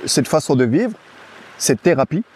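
Relaxed, hesitant man chatting outdoors. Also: a little high, normal speed, a little hiss or hum. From French Guiana. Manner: hesitant, many restarts and fillers, relaxed. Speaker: guest. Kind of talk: casual interview chat.

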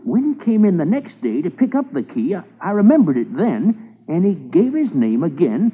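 Casting: folksy shopkeeper, high and lively, halting and eager to help, old radio drama. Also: male voice, husky, full character voice. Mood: recalling, emphatic. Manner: folksy, halting, thinking aloud. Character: male shopkeeper key cutter, folksy, hesitant, eager to help. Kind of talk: 1950s radio drama acting. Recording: tinny narrow-band old radio recording.

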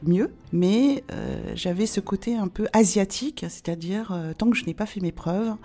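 A woman of forty-eight from France speaking conversationally as an interview guest, often pausing to search for her words. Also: medium pitch, big pitch swings, dark tone. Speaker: podcast guest. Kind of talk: conversational interview, recounting own life.

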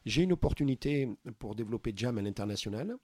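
A man from France in a casual interview, talking earnestly and often pausing to find his words. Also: medium pitch, animated, darkish tone, a little hiss or hum. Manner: earnest, searching for words with many pauses. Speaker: podcast founder, interview guest.

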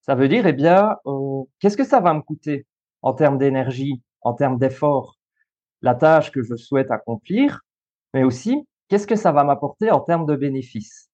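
A man's voice: mid-range voice, animated, moderate pace, darkish tone. Belgian guy, 30, chatty explainer, lots of euh, kinda dull lo-fi sound. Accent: Belgian French. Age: 30s. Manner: explanatory, many fillers and restarts, easy laughs. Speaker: guest, school coach and social worker. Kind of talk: podcast interview.

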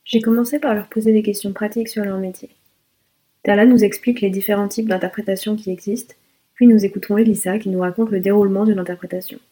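A woman podcast host reading short scripted introductions in a brisk, matter-of-fact way. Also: mid-range voice, steady tone, warm tone, poor audio.

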